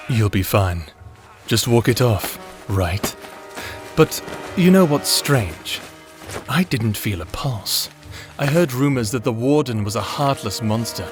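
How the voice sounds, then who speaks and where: Vengeful male necromancer, sadistic, theatrical, gloating, wildly swinging pitch, unhurried, audio drama, music behind. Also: lively intonation. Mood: quiet menace. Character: vengeful male necromancer, manic, gleefully sadistic escaped prisoner. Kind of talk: dramatic audio roleplay acting.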